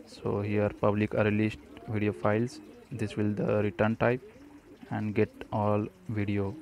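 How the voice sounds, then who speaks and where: A man presenting a step-by-step programming tutorial, speaking haltingly in short fragments. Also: lowish voice, darkish tone. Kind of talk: step-by-step screencast tutorial narration.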